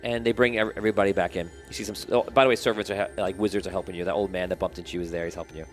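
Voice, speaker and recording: A man narrating as game master in a quick, casual way, tripping over his words and starting over. His delivery is brisk, with faint music running under the talk. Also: sits low, steady tone. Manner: fast, casual, with frequent restarts.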